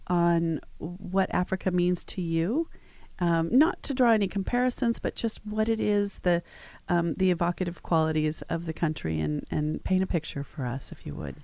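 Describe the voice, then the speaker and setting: Low-voiced woman interview host. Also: normal speed, gravelly, warm tone, no echo. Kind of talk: studio interview. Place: studio.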